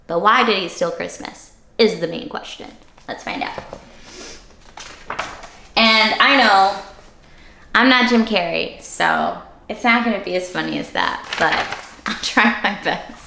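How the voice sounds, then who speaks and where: A woman's voice: medium pitch, unhurried, gravelly, pauses often, pushed and bright, echoey room, a little hiss or hum. A woman hosting story time, reading aloud to children in a theatrical, playful and interactive way. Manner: theatrical, playful, addresses young listeners. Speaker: story time host and reader.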